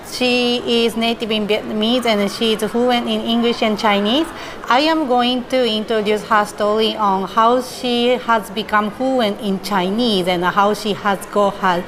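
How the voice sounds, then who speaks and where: A woman host opening her podcast and interviewing a guest, brisk with short encouraging backchannels. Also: a little high. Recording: music bed under the intro.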